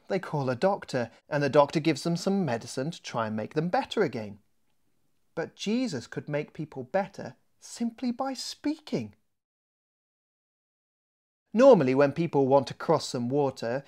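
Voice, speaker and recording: A man reading a children's mystery story expressively, as its author, with deliberate dramatic pauses. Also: a little high, very animated, warm tone.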